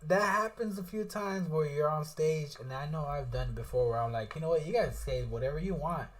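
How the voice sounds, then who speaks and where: A man on a podcast telling stories, animated and quick to laugh. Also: fairly high pitch. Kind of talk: casual podcast chat.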